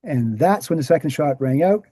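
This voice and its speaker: Man, slightly high pitch, soft dark tone, dry sound, assured explainer, scripted drama acted. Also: expressive, normal speed, no echo. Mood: explanatory. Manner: didactic, assured. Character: Gary, confident explanatory man debunking assassination myths. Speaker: actor in a screenplay table read. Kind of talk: scripted dialogue, lecturing explanation.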